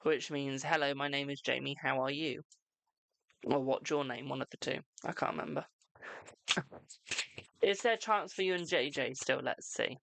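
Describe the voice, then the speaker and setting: A British tarot reader hosting a live stream, chatting casually and answering viewers' questions with a brisk "my darling". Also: mid-range voice, vocal fry, fairly bright tone, no room sound. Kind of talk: casual live-stream chat and card readings.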